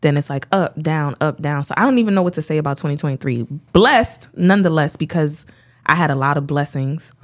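A woman chats loosely on a podcast, candid and quick to laugh. Her voice is very low for a woman. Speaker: guest. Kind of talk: casual chat.

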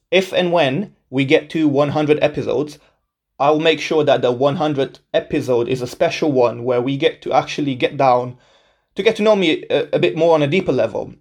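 A man's voice: on the high side, darkish tone. Upbeat young man hosting a podcast. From Portugal. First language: Portuguese. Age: 20s. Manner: upbeat, chatty, self-deprecating with laughs. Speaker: podcast host. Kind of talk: casual solo podcast introduction.

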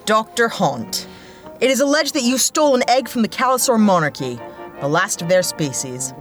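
A woman's voice: on the high side, very animated, normal speed, soft background music. A woman playing a tough, sardonic private investigator in a sci-fi audio drama, curt, dry and bossy. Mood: stern.

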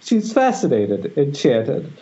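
A man's voice: a little high, wildly swooping pitch, takes it slowly, dark tone. A man narrating screen directions at an informal table read over an internet call, slightly stumbling through a dramatic reading. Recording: dull, noisy internet call.

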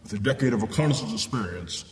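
A man's voice: fairly low pitch, expressive, fast, a little hiss or hum, lightly acted. A man playing a smug shapeshifting spy in a theatrical radio drama. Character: smug shapeshifting male spy, master of disguise.

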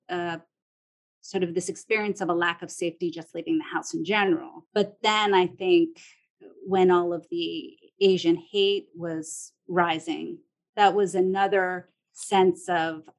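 A woman talking thoughtfully in an interview, explaining and rephrasing in a conversational way. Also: lowish voice. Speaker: interviewee, psychiatrist.

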